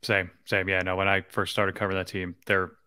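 A man's voice: sits low, monotone, a little fast, bright, forceful tone, clear, resonant tone, no room sound, studio quality. A man in his thirties co-hosting a sports podcast, speaking casually into a desk microphone indoors. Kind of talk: casual postgame sports chat.

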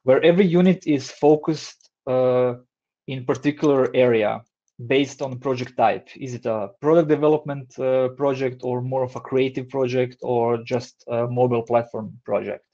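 A man in his thirties with a Croatian accent, giving an online design talk from home in a relaxed, hesitant way with many fillers. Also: medium pitch, moderate pace, lo-fi sound. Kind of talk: online presentation. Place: home room, indoors. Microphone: headset on a video call.

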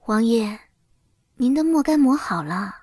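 A woman voicing a calm young princess consort in an audio drama, soft and nonchalant with mild sarcasm. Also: a little high, unhurried, dry recording, clean studio sound. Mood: restrained. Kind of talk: Chinese audio drama acting.

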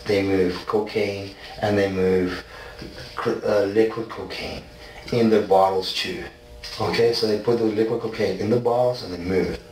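A man in his forties from South Africa, rambling in rushed bursts while being questioned. His somewhat low voice is picked up from a distance in a bare, echoey room with a faint hiss underneath. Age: 40s. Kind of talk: police interrogation. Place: small bare interrogation room. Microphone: far ceiling camera.

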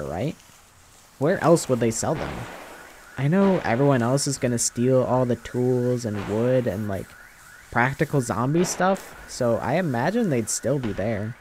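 A man playing a young slacker boyfriend in a zombie ASMR roleplay, flippant and foul-mouthed, rambling casually with a deadpan manner. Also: mid-range voice, slight background noise, natural voice.

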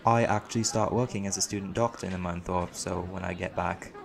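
A man's voice: low voice, fairly even intonation, fairly quick, creaky, over music. A man playing a young student doctor with a secret life as a lawman, in an audio roleplay. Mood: friendly, curious. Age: young adult.

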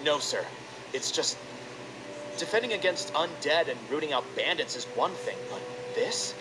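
A man's voice: on the high side, animated, moderate pace, close to an everyday voice. Man voicing a young soldier, respectful, uneasy, noisy background. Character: young nervous male soldier.

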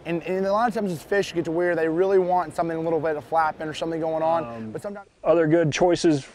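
A man's voice: on the high side, fairly even intonation, quick pace, darkish tone. A man, a pro angler in promo clips, explaining fishing tips in a conversational way.

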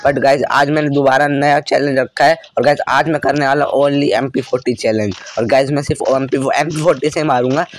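A hoarse young man, his throat worn out, talks at a normal middle pitch over a faint hiss of background noise. He narrates his own match in quick, excitable bursts. He keeps clearing his throat between lines. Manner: excitable, frequent throat clearing, lots of "bhai". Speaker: gaming channel host. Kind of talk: live gameplay commentary.

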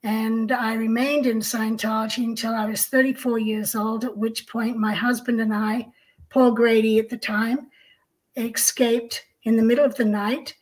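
A middle-aged woman from Australia, co-hosting a video-call chat at home, telling her story in a calm, measured way. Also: on the high side, rough recording. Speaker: co-host. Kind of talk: casual interview chat. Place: home room, indoors.

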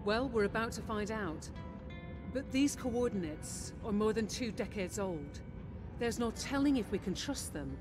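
Actress as steady female officer, loyal, serious, measured briefing, video game drama, music clearly behind. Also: medium pitch, natural voice. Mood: wary, serious.

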